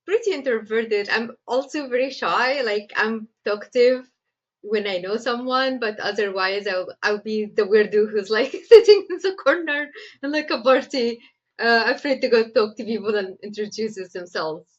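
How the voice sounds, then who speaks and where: A woman's voice: fairly high pitch, moderate pace, muffled recording. A woman from Egypt with an Egyptian accent, a screenwriter answering interview questions in a chatty way, with many fillers and frequent laughs. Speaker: screenwriter guest.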